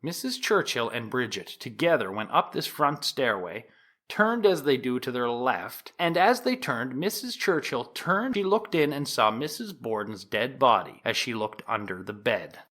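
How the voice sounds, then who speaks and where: A nineteenth-century prosecutor addressing a jury in a courtroom audio drama. He is a solemn, accusatory figure with a lively, widely moving pitch. His oratory is formal and deliberate, rising firmly on the points he wants to press. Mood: measured, grave. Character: 1890s male prosecuting attorney, solemn, insistent, accusatory. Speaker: actor in an audio theatre production. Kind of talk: dramatized courtroom opening statement.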